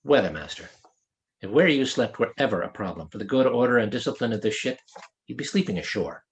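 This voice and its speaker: A man's voice: medium pitch, lively intonation, brisk, natural voice. Man reading as a stern, commanding woman ship's captain. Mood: controlled, private. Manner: clipped, authoritative, formal. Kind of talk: fantasy audiobook character dialogue.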